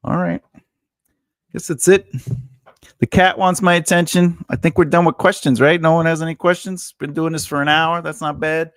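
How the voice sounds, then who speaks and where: A man's voice: on the high side, fairly even intonation, moderate pace, fairly bright tone, no echo, studio quality. A man in his forties, a writing teacher and editor, chatting casually and rambling with laughs during a live Q&A. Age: 40s.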